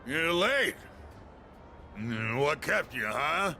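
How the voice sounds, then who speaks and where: An actor playing a gruff, lonely, middle-aged drunken ex-businessman in a video game, with a blustering, slurred drawl. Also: fairly high pitch, sing-song, very slow, bright, forceful tone, soft background music, heavily acted. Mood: irritated, drunken bluster. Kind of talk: English video game voice acting.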